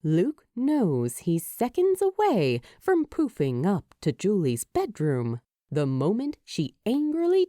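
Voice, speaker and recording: Female storyteller, expressive scripted read, warm relaxed timbre, dry close sound. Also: medium pitch, no room sound. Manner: expressive, deliberate reading. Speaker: fan fiction podfic narrator.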